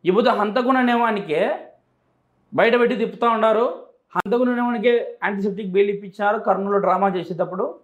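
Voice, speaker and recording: A man's voice: high-pitched, quick pace. A man with a Rayalaseema Telugu accent answering interview questions emphatically, repeating phrases to drive points home. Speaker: interview guest. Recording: echoey, roomy sound.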